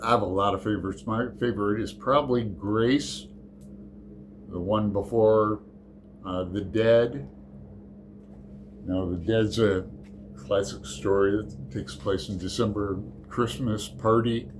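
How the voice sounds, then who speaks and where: Guy rambling slowly about books, lots of ums and uhs, bit of faint hiss behind. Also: on the low side, steady tone. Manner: rambling, hesitant, many fillers. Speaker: book channel host. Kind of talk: casual book tag chat.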